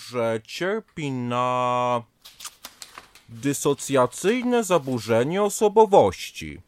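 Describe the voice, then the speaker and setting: Pace: very slow. Role: man acting as a psychiatrist. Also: mid-range voice, dry recording. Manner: measured, formal, quizzical. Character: unhurried, deliberate male psychiatrist. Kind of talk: radio comedy drama acting.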